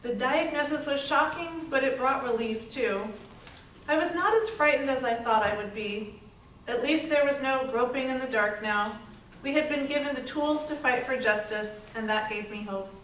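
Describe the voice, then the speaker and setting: Brave, hopeful young working woman played by an actress, slightly high voice, stage monologue recorded from a distance. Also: normal speed, a bit bright. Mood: sober, hopeful. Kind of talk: dramatic readers theater monologue. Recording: echoey hall, far mic, faint noise.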